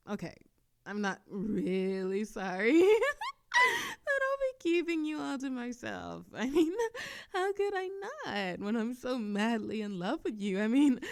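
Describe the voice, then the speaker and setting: A woman acting a giddy, obsessive young kidnapper in an ASMR roleplay, doting and awkward, with halting, giggly rambling and cooing reassurance. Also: fairly high pitch, gravelly, exaggerated voice. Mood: smitten, giggly. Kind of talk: ASMR roleplay audio drama.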